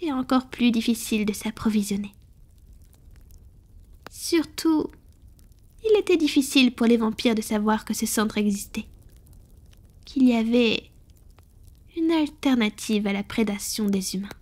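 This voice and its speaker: Femme jouant une vampire séculaire à voix haute et soufflée. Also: female voice, on the high side, expressive. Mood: calm, proud reminiscing. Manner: soft, breathy, confiding, measured. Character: centuries-old female vampire, powerful, tender, confiding, melancholic. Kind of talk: scripted intimate roleplay audio, soft-spoken with whispering.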